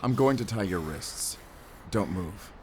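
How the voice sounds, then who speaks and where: Deep-voiced stern werewolf hunter. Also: male voice, lively intonation, measured pace, a little mellow, slight background noise. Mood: firm, commanding. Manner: terse, commanding, suspicious. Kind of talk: cinematic audio roleplay acting.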